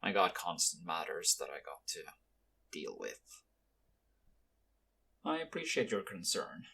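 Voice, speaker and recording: A man playing a guarded, weary, quietly kind young mafia henchman in an ASMR roleplay, speaking low-key and hesitantly to the listener. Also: very animated, measured pace, creaky, husky, full character voice. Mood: casual, apologetic.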